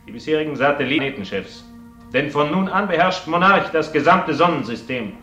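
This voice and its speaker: Forceful, pompous magnate, echoing. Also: male voice, a little high, lively intonation, normal speed, soft background music. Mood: triumphant, pompous. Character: grandiose, domineering male corporate magnate addressing staff. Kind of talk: radio play speech. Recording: dull, echoing room sound.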